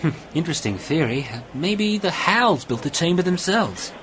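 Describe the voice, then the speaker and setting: A man's voice: fairly high pitch, very animated, normal speed, fairly bright tone, low-quality recording. A man playing an eager, bookish friend full of lore in an amateur science fiction radio play, explaining and musing as he goes. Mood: musing, explanatory.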